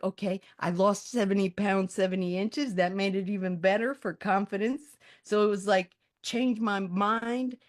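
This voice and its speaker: A woman in her mid-sixties telling her personal story in a candid, conversational way, often acting out her own thoughts. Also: mid-range voice. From born in Colombia, raised in the United States from age five. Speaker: writer and resilience coach.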